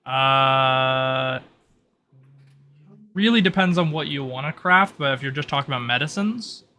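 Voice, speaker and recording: Bright-voiced man running a tabletop game. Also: medium pitch, unhurried, a little hiss or hum. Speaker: game master. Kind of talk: improvised narration of an online tabletop roleplay. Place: online voice call.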